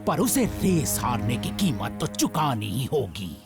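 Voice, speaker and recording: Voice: medium pitch, sing-song, measured pace, creaky. An actor voicing a haughty, scornful wolf in a cartoon, taunting and smug, with music behind. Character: haughty adult wolf rival, scornful of human child.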